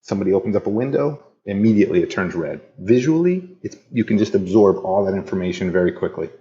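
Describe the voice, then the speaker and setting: A man's voice: deep voice, big pitch swings, quick pace, warm tone, lo-fi sound. A man being interviewed on a podcast, telling his story with easy enthusiasm. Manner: enthusiastic, storytelling, laughs easily. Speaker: interview guest. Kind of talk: casual interview chat.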